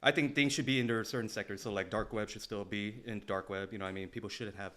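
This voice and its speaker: A man's voice: on the low side, steady tone, fast, sharp tone, slight background noise. A man co-hosting a podcast, chatting informally with a friend in slangy language with casual swearing. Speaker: podcast co-host. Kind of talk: casual chat between friends.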